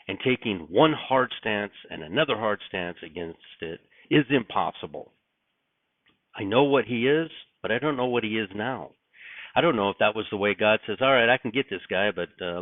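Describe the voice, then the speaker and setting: Raspy man, just up, low pitch, rambling and musing, tinny dry recording. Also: no room sound. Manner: rambling, musing, frequent restarts and fillers. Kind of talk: casual spoken reflection. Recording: dry, tinny phone-like sound.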